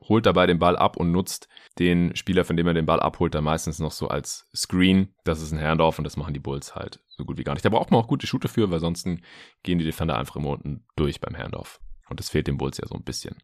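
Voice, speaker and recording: A low-pitched man delivers conversational basketball analysis as a podcast host. He explains things informally, with casual asides, and the recording is very dry. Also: no echo. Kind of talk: conversational sports analysis monologue.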